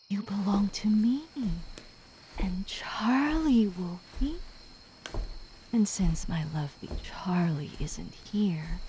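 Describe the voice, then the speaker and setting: A woman playing a sadistic, possessive female demon in an ASMR roleplay, theatrical, slipping between breathy whispers and menacing outbursts. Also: lowish voice, dark tone, a little hiss or hum, poor audio. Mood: menacing coaxing. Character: sadistic, obsessive, possessive female demon stalking her pet.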